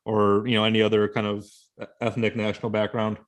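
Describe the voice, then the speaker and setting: A man's voice: on the low side, completely flat delivery, fast. A man hosting an interview, asking questions in a loose, chatty way with fillers. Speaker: interview host.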